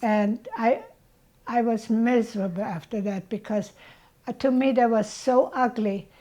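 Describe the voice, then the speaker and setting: A woman, telling her childhood story as an interviewee in a slow, reflective way. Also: fairly high pitch, steady tone, warm tone. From Germany. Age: 80s. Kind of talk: reminiscing about childhood.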